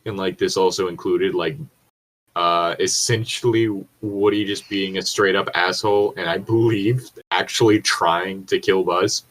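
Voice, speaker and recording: A man's voice: sits low, steady tone, measured pace, a bit bright, low-quality recording. A man chatting casually as a podcast co-host, swearing freely, rambling and correcting himself as he goes. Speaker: podcast co-host reading and discussing creepypastas. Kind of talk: casual commentary chat.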